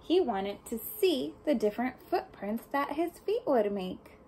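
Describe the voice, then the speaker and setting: A woman's voice: high voice, very animated, unhurried, mellow, a little hiss or hum. A woman reading a picture book aloud to young children, speaking expressively and putting questions and asides to the listeners. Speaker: storybook reader for young children.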